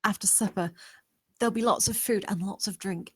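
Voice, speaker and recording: A woman performs a determined young maid in a dramatic table read. She has a medium pitch and a defiant streak. The sound is close, with no room echo. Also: brisk, husky, lightly acted. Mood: urgent, reassuring.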